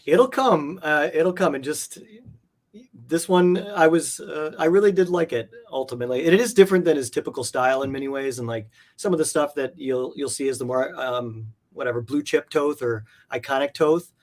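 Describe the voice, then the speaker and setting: A man's voice: a little high, moderate pace, a little mellow. Casual, hesitant man chatting. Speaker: guest, comic art collector. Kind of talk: casual interview chat.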